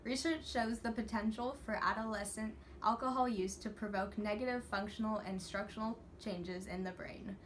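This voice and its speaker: A young woman student reading a class presentation from a script, steady and earnest. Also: medium pitch, moderate pace, hardly any pauses, a little hiss or hum. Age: young adult.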